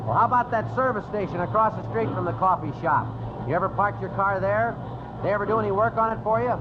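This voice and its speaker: An actor playing a terse, dogged police detective in a deadpan, clipped manner in a vintage radio crime drama. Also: male voice, high voice, fast, noisy background, lightly acted. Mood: pressing. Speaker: lead actor and narrator. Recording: tinny vintage radio, music behind.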